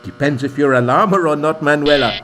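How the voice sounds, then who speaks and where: An actor playing an earnest, excitable village man and narrator in a scripted comedy radio play, with a theatrical manner. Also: a little high, brisk, natural voice.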